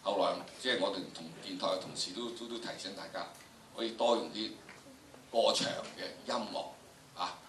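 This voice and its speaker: An older man with a Hong Kong Cantonese accent lectures to students in an emphatic, theatrical way. His voice is bright and forceful. It comes from some distance in an echoey lecture room, with some noise. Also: on the low side, fairly even intonation, brisk. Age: older, about 70s. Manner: theatrical, emphatic, repeats phrases for effect. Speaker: drama teacher, lecturer. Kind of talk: animated classroom lecture to students. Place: large indoor lecture room with audience.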